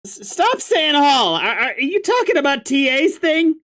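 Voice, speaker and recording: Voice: sits high, sing-song, unhurried, a bit bright, pitched above the performer's own voice, heavily acted. A reader voicing an irritable young male troll who rants and hurls insults in exasperation. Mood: irritated. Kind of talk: reading a webcomic aloud with voices.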